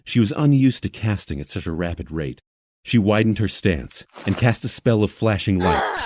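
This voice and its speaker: A man's voice: low voice, darkish tone, breathy edge. A man reading dramatic scripted fantasy narration as a storyteller.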